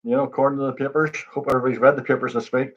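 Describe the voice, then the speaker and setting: A man's voice: medium pitch, flat delivery, very fast. A man hosting a pub quiz livestream, chatting and greeting viewers by name. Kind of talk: casual livestream chat. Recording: dull lo-fi stream audio.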